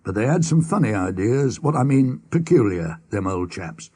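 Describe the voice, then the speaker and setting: A man's voice: medium pitch, very animated, normal speed, dark tone, breathy edge, dry recording, clean studio sound. A man voicing plain-spoken, superstitious villagers in an audiobook ghost story, with a folksy, knowing manner. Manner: folksy, knowing, dialect grammar. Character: rustic Suffolk villagers at the inn, plain-spoken, superstitious old-time locals.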